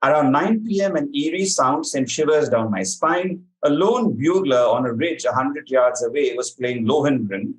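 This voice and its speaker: A man hosting a quiz, reading questions to contestants and explaining answers in a measured, articulate way. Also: medium pitch, a little hiss or hum, poor audio. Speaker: quiz master.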